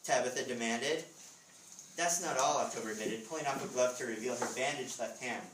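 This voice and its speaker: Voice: mid-range voice, fairly quick, background noise. Story narrator for live readers theatre, roomy sound, distant from the mic. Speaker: author reading own book as narrator. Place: live author visit, indoor room.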